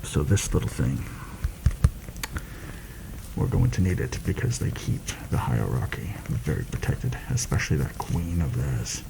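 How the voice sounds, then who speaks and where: A man playing a shrewd, secretive mercenary planner in a soft-spoken ASMR fantasy roleplay, confiding and deliberate in a slightly formal way. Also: lively intonation, background noise, full character voice. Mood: grave, cautious. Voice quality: low, gravelly, soft-spoken. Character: shrewd, secretive male mercenary plotting a royal assassination.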